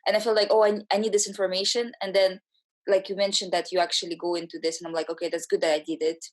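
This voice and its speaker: A woman in casual conversation, speaking in a halting way and acting out short quotes as she goes. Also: medium pitch, flat delivery. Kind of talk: casual chat.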